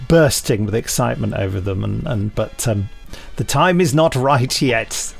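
A man's voice: mid-range voice, expressive, moderate pace, creaky, breathy edge, faint music behind. A British man in casual podcast chat, jokey and hesitant, rambling through his answers. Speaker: podcast host.

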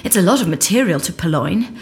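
An actress playing a brisk, businesslike investigator reporting in an audio drama. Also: female voice, fairly low pitch, lively intonation.

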